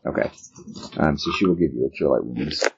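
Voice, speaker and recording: A man working as game master, narrating a tabletop role-playing game in a halting way, thinking aloud as he goes. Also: rumbling low, slightly rough. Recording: dull, lo-fi sound.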